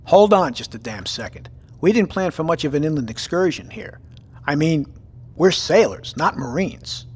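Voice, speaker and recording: Husky, brusque naval commander. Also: male voice, on the high side, animated, moderate pace. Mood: skeptical. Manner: brusque, commanding, plain-spoken. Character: gruff, decisive male American naval officer in command. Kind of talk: audio drama acting.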